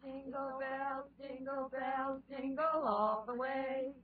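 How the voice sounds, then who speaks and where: A woman's voice: high voice, flat delivery, slow. An actress playing a brash, wisecracking young city woman in a 1930s radio drama, slangy and joking. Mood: playful singing. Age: young adult. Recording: tinny, muffled 1930s radio recording.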